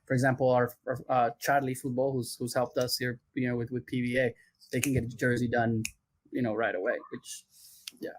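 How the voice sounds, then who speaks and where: A man's voice: mid-range voice, flat delivery, fairly quick, a little hiss or hum, poor audio. A man talking as a podcast guest about branding work, loose and chatty with many fillers. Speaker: podcast guest who works on athlete branding. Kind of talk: casual podcast chat.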